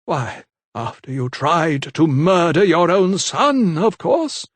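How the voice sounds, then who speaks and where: A man voicing a zealous, menacing old vicar who speaks in a slow, unctuous, preaching manner, in a dry, close audiobook reading. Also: fairly high pitch, expressive, no echo, pitched above the performer's own voice.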